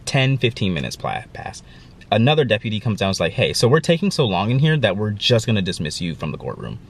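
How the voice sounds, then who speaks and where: A man's voice: on the low side, very animated, fast talker, gravelly. A young man vlogging casually to camera, sounding stuffy and congested, rambling through a story with lots of fillers. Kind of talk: casual vlog talk to camera.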